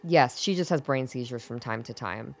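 A woman playing a sharp, guarded older doctor in a radio drama, speaking in a dry, formal, clipped way. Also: rumbling low, normal speed, dry recording. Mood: brisk, probing. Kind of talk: audio drama acting.

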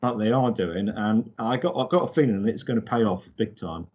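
A man's voice: fairly low pitch, a little fast, breathy edge, highs cut off. A man co-hosting a podcast, giving his thoughts on books in casual conversation. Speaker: podcast co-host. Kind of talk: casual book discussion.

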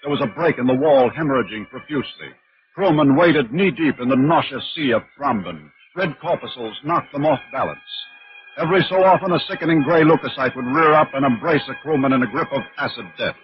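An actor narrating a 1950s radio science fiction drama in a grave, dramatic manner. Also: male voice, mid-range voice, lively intonation, a little fast, natural voice. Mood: dramatic, intense. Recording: muffled 1950s radio recording, music behind. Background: music.

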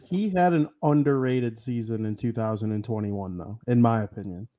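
A man's voice: fairly low pitch, moderate pace, a crowd in the background. Man making his case in sports chat. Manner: argues his point with stats. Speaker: podcast co-host.

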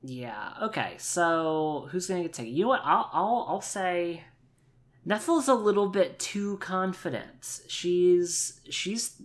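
A man improvising a tabletop game story in a halting, deliberate and playful way. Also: sits high, expressive. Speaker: player in an actual play podcast.

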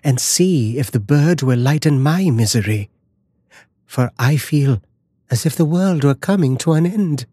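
A man's voice: a little high, expressive, measured pace, mellow, slightly rough, no room sound, pitched above the performer's own voice. A man playing a frantic, guilt-stricken wife. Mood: terrified. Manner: frantic, anguished cries. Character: terrified guilt-ridden mother, middle-aged woman.

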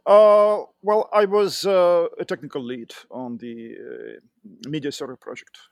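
A middle-aged man from Russia with a Russian accent, a podcast guest telling his career story with many pauses and fillers. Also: fairly high pitch, fairly even intonation, no echo, studio quality. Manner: hesitant, many fillers and restarts. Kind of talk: interview conversation.

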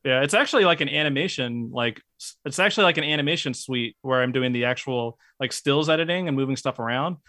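A man in casual chat who talks in a steady, even way, with lots of likes and fillers. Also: mid-range voice, fast talker, no echo. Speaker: podcast guest, YouTuber. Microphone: close mic, hands bump it.

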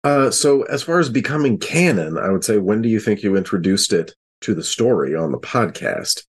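A man with a low, husky voice chats casually on a podcast panel. He poses questions to his fellow panelists in a conversational way. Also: lively intonation, normal speed. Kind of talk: casual chat.

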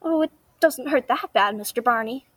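A woman's voice: on the high side, normal speed, fairly bright tone, dry recording. A young woman playing a plaintive, stubborn child sidekick in a classic radio drama. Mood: sulky, reluctant.

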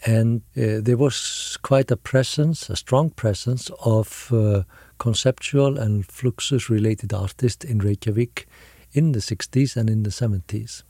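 Older Icelandic man, mellow and halting. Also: mid-range voice, no echo, studio quality. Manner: halting, deliberate, frequent hesitations. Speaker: interview guest, art curator. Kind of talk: conversational recollection.

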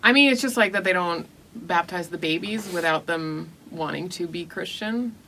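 Gravelly, bright-voiced dry woman. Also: on the low side, moderate pace, slight background noise, poor audio. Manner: dry, wry, deadpan jokes. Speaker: podcast co-host. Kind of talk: casual live podcast chat. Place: live podcast recording before an audience.

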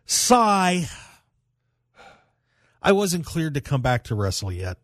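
A man's voice: fairly high pitch, sing-song, slow pace, dry recording. Man hosting talk radio, very dry sound. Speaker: radio show host. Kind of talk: casual pro wrestling talk radio. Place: radio studio.

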